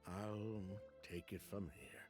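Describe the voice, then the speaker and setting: An actor playing a defensive, secretive middle-aged perfume merchant in a dramatic, halting video game style. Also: male voice, lowish voice, music in the background. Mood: grim justifying.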